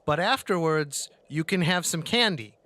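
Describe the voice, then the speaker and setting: A man voicing a gentle caretaker in a tabletop game, coaxing in halting, simple words as if to a child. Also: on the high side, expressive, voice pushed up.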